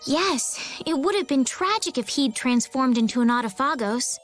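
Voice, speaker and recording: High, sweet, earnest young lady. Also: animated, moderate pace, faint music behind. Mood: gentle, earnest. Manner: polite, tender. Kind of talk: English game dub voice acting.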